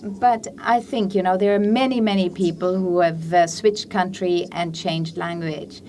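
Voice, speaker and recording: A woman's voice: fairly low pitch, fairly even intonation, a little slow, darkish tone. Woman rambling a q&a comment, lots of "you know", mic far off, faint room hum. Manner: hesitant, loaded with fillers. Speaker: audience member at a panel discussion. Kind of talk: conference Q&A comment. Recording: distant mic, faint hall ambience.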